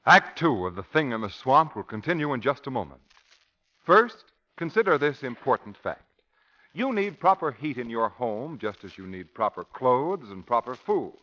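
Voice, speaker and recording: A man's voice: mid-range voice, very animated, moderate pace, sharp tone. A man with an American accent announcing a 1940s radio commercial in a polished, emphatic sales style on a muffled old recording.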